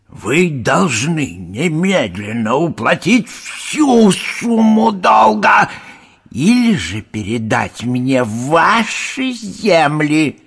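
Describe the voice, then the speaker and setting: A haughty, money-grabbing medieval abbot in a radio drama. He speaks slowly and imperiously, with a husky, raspy edge and big dramatic swings of pitch. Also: a bit bright, heavily acted. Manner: imperious, theatrical, indignant. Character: greedy, haughty, irascible medieval abbot demanding repayment. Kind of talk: Russian audio play acting.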